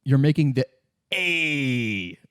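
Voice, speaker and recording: A man with a mid-pitched voice acts as game master. He narrates a tabletop role-play in a conversational style, recorded close and dry. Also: expressive, slow pace, a bit bright, clear, resonant tone, dry recording.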